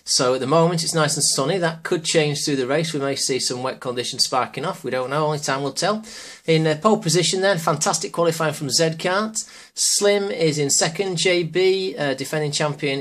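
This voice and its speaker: A man with a sore throat commentating an online sim race as host, chatty and getting excited during the action. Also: a little high. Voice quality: slightly hoarse.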